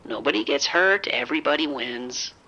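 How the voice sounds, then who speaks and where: An actor playing a reckless gambler in an audio drama, cocky and breezy, always coaxing and persuading. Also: male voice, a little high, expressive, moderate pace, gravelly, pushed and bright, muffled recording, heavily acted.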